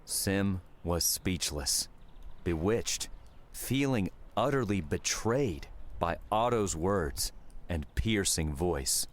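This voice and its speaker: Very deep, slow male narrator. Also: lively intonation. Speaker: audiobook narrator. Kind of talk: dramatic scripted narration. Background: music.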